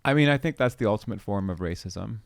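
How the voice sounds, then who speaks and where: A man's voice: fairly low pitch, animated, fast talker, creaky, no echo. A middle-aged man from Canada, a podcast guest talking reflectively with frequent restarts and hesitations. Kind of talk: conversational interview.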